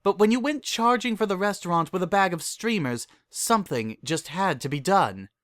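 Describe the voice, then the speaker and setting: A narrator voicing a refined, dramatic, motherly female cartoon unicorn with a genteel, affected, drawn-out delivery. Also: mid-range voice, expressive, a bit bright, dry recording, voice pushed up, close to an everyday voice. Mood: placating.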